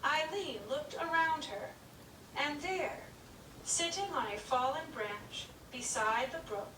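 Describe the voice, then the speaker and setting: A woman narrating a children's puppet show story in a slow, gentle, clearly paced reading. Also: sits high, background noise. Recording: echoey room, distant mic.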